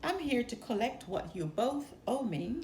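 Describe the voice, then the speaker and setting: A woman's voice: a little high, sing-song, a little slow, darkish tone. A woman voicing a tiny, stern male animal crime lord in a storybook, commanding and menacing. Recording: roomy echo, some background noise.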